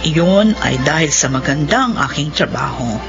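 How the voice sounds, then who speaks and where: An actress playing Angela, a widowed mother pleading gently with her grown children. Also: sits low, lively intonation, measured pace, gravelly, heavily acted. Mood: wistful. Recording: muffled radio drama audio with music.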